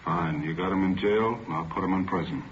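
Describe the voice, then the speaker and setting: Fast-talking curt actor as a police chief. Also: male voice, deep voice, lightly acted. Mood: curt, authoritative. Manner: authoritative, curt. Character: gruff, brisk male chief of detectives. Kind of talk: 1950s radio crime drama acting. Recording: muffled, very noisy old radio recording.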